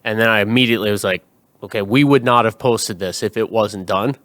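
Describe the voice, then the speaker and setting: A man on a sports podcast panel, chatting casually with quick, teasing interjections. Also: lowish voice, fairly bright tone, no room sound. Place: podcast studio.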